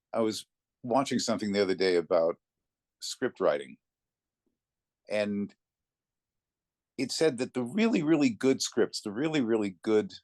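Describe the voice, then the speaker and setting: A man talking conversationally as an interview guest, telling anecdotes in a reflective way with thoughtful pauses. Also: fairly low pitch, no echo, studio quality. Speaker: interview guest, narrator of classic readings.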